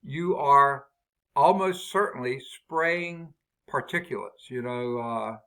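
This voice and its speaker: A man with a loud voice, leading a math department staff meeting over a video call. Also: medium pitch, slow. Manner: loud voice, talkative and explaining. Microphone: laptop built-in mic on a video call.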